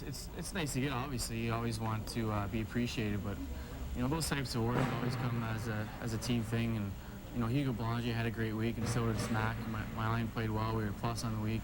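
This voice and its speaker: A man's voice: mid-range voice, heavy background noise. A man from Ontario, Canada, a hockey captain giving casual, modest interview answers with hesitant repeats. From Timmins, Ontario, Canada.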